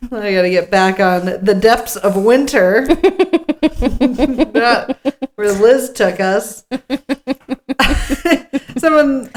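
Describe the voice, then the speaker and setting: A woman co-hosting a podcast in casual chat, with a playful, jokey manner, sometimes reading from notes. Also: mid-range voice. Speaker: podcast co-host.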